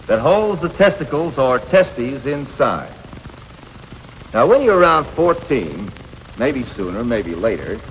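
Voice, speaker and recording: A man's voice: medium pitch, a bit bright. An actor playing a patient, matter-of-fact instructor of boys in a 1950s educational film, an American man who explains things plainly and reassuringly. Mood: patient, explanatory. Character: patient, matter-of-fact male coach teaching adolescent boys. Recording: noisy, reverberant 1950s film soundtrack.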